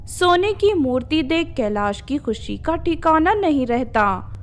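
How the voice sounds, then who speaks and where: Slow, high-pitched woman storyteller. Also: sing-song. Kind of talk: scripted children's horror story narration.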